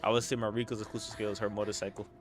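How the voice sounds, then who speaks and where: A young man rambles casually while gaming. His voice is on the low side, and game music plays behind him. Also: little pitch movement, very fast. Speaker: gamer chatting during gameplay. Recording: voice over loud game music and dialogue. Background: game music and character dialogue.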